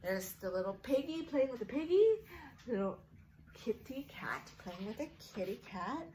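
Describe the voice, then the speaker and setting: A woman's voice: on the high side, expressive, normal speed, dark tone, slight background noise. A woman hosting story time for young children, reading in a sing-song way with simple phrasing aimed directly at little listeners. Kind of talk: sing-song read-aloud of a picture book for young children.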